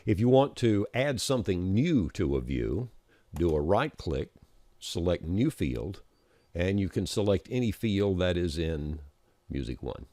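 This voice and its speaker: A man with a deep, mellow voice walks through software steps as an instructor. His intonation moves around a good deal while he explains each click in an informal, practical way. It is a screencast-style voice-over. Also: very animated, unhurried, no echo. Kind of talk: informal step-by-step screencast narration.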